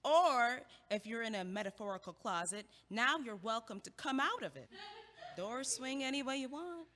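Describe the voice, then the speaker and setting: Woman acting wry teasing young friend, bright sharp voice, quick-witted, audio play, long hall reverb. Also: a little high, lively intonation.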